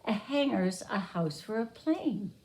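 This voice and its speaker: A woman teacher reading a picture book aloud to young children, pausing to explain and repeat words simply. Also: mid-range voice, animated, a little mellow.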